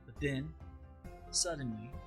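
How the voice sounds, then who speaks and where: A man's voice: medium pitch, slow pace, faint music behind, lightly acted. A man voicing an eager, doubting, bossy young child in a dramatized children's read-aloud. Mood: excited.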